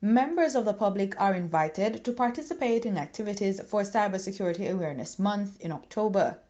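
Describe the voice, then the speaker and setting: A woman's voice: mid-range voice, animated, a little fast, warm tone. A Jamaican woman narrating a scripted news report. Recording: dull, muffled voice recording.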